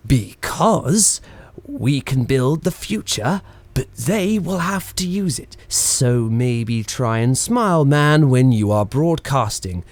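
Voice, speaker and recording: A man's voice: mid-range voice, lively intonation, takes it slowly, a little mellow, dry recording. Man playing ebullient British 1920s radio engineer, close dry sound. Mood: coaxing, earnest. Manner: theatrical, bantering, old-chap turns of phrase. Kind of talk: period radio play acting.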